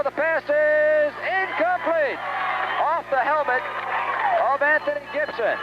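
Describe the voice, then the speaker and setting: High-pitched American football announcer, male, noisy recording. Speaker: play-by-play sports announcer. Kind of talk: live American football radio commentary. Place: outdoors at a stadium. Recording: dull, noisy 1970s radio recording.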